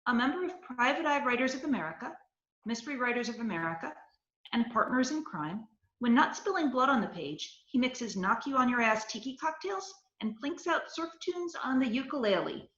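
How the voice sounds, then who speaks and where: A woman's voice: fairly high pitch, lively intonation, brisk, a bit bright. A woman co-hosting an online event, reading a scripted author introduction over a video call. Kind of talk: scripted introduction read aloud. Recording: muffled, roomy video call audio.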